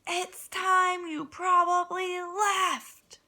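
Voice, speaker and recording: A woman voicing a fussy, easily angered and panicky male pig in emphatic, exclamatory yells. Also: very high voice, flat delivery, drawn-out words, pitched above the performer's own voice, exaggerated voice. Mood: angry, indignant.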